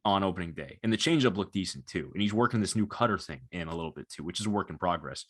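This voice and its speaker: Male podcaster, twenties, fairly low voice. Conversational, analytical sports talk. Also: expressive, fast. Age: 20s. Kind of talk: casual analytical sports chat.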